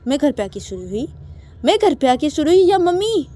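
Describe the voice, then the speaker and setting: A woman's voice: high voice, sing-song, normal speed, warm tone. A woman voicing an angry, defiant young daughter in an audio drama, arguing indignantly and repeating her phrases insistently.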